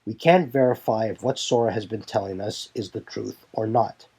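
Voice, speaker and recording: A man voicing a measured, authoritative young military leader in an audio drama. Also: lowish voice, mellow, slightly rough. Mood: measured, weary. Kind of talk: audio drama character acting. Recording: music bed behind the voice.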